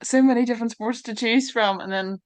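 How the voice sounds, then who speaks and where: A woman hosts a podcast and keeps things upbeat and encouraging. Her voice sits a little high. The sound is dry, with no room around it. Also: a little fast, dry recording. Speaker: podcast host. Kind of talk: casual interview.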